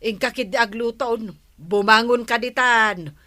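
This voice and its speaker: A woman's voice: on the high side, unhurried, sharp tone. A woman playing a nagging, exasperated wife in a comic radio drama, scolding with an Ilocano accent. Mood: nagging, weary.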